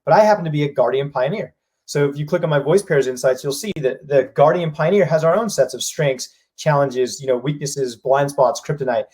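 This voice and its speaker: Fast-talking husky man. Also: a little high. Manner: fast, energetic, acts out what people say. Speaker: company president. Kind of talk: business live-stream discussion.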